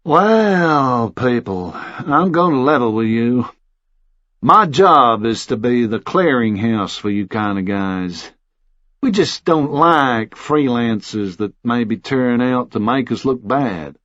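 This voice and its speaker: A man voicing a cold, formal Texan official in an audiobook, measured, condescending and threatening. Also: mid-range voice, expressive, fairly bright tone, no room sound, highs cut off.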